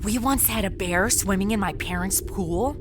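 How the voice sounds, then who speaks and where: A woman's voice: medium pitch, moderate pace, background noise, lightly acted. A woman playing a sarcastic modern woman in a comedy audio drama, snarky, exasperated and colloquial. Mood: wry. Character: sarcastic modern woman stuck inside an old radio adventure.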